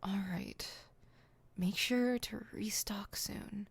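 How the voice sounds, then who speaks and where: A woman's voice: mid-range voice, slow, vocal fry, husky, no room sound, exaggerated voice. A woman voicing an exasperated, proud but secretly caring supervillain in an ASMR roleplay, with an indignant, wordy, dry delivery. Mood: dry, matter-of-fact.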